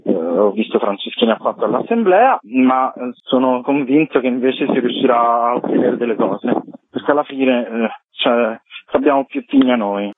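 A man's voice: medium pitch, very animated, moderate pace, husky. A man speaking off the cuff as an interview guest, with an opinionated, emphatic delivery. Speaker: guest, performing arts activist. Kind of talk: spontaneous interview talk. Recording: narrow phone line, roomy echo.

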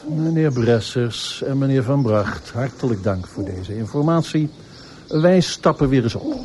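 An actor playing a brisk, dryly courteous police inspector who speaks formally and in a measured way in a radio play. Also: male voice, mid-range voice, expressive, darkish tone, a little hiss or hum, close to an everyday voice. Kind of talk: radio drama acting.

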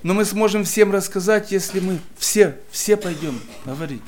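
A man preaching and telling a personal story in short phrases, pausing after each so it can be interpreted. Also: high-pitched, a little mellow. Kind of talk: sermon testimony, interpreted line by line.

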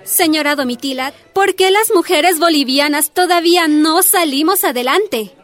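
Very high-voiced eager girl student. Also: normal speed. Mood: eager, questioning. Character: eager, respectful young woman student. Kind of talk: radio drama acting. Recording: soft music underneath.

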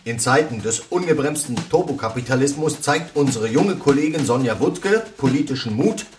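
Ein Schauspieler spielt im Hörspiel einen ernsten, pathetischen linken Gewerkschaftstyp, der etwas entfernt vom Mikrofon spricht. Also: male voice, medium pitch, lively intonation, normal speed, distant mic, slight background noise, natural voice. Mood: solemn, declamatory. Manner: declamatory, pompous. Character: pompous socialist colleague, earnest union-style orator. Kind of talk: radio drama, reading out a press statement.

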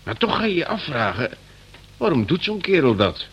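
Pedantic police inspector, animated pitch. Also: male voice, moderate pace, muffled recording, a little hiss or hum. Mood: pondering. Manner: ponderous, self-important, hesitant, questioning. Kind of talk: radio play acting.

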